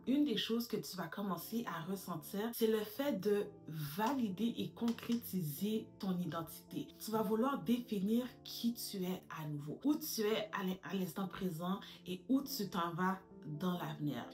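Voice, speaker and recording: A woman, giving advice straight to camera as a lifestyle YouTuber, calling her viewers "girls" and mixing in English words. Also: mid-range voice. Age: 30s. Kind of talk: direct-to-camera advice vlog.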